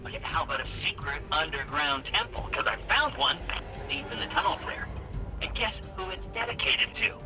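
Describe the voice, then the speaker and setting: Actor as a keen male investigator, lively upbeat voice over game music. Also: on the high side, normal speed, natural voice, rough recording. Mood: eager. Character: eager male investigator chasing leads.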